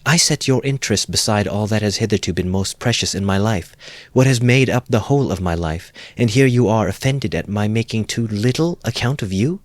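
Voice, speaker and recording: A man voicing an earnest, resolute young woman speaking with measured feeling. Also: deep voice, no room sound, close to an everyday voice. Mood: indignant. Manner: earnest and deliberate.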